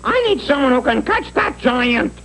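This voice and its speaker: A man playing an excitable, crafty grown man in a cartoon, brash and irritable, with a creaky voice. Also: extremely high pitch, normal speed, exaggerated voice. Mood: agitated. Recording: noisy old TV broadcast tape.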